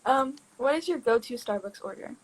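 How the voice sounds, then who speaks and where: A young woman with a slightly high, breathy voice reads out interview questions as a student interviewer. She stays friendly and giggly and often starts with a soft "um". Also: lively intonation, moderate pace, rough recording.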